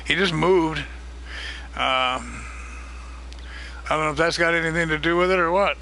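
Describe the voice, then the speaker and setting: A man hosting a live stream of a multiplayer farming game, chatting casually and greeting viewers by name, his voice getting a little hoarse. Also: a little high, moderate pace, bright, forceful tone, a little hiss or hum. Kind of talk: casual live-stream chat while gaming.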